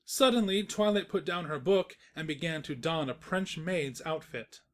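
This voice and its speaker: A woman's voice: deep voice, moderate pace. A woman narrating a scripted comedic story as its storyteller.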